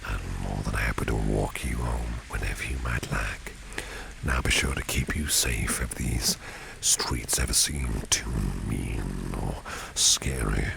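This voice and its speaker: A man playing a protective, flirtatious boss in an ASMR roleplay, speaking softly and suavely in a gravelly, breathy near-whisper. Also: very deep voice, heavily acted. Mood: tender, flirtatious. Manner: slow, suave, soft-spoken. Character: protective, flirtatious male boss, smooth and courtly. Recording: steady rain noise behind the voice. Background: rain and street ambience.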